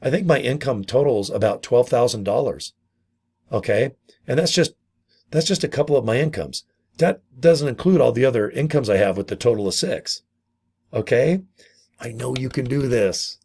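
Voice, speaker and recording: A middle-aged man with a hoarse, gravelly voice from a vocal cord injury, casually pitching his online business and often calling his viewers "guys". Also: normal speed, a little mellow. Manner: chatty, repeats "guys" and "okay". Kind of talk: casual sales pitch to camera.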